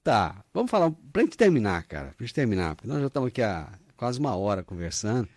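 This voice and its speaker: A man's voice: lowish voice, very animated, quick pace, darkish tone, no room sound, studio quality. A man hosting an interview, talking in a friendly, chatty way and calling his guest "cara". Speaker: podcast host, interviewer. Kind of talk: casual interview conversation.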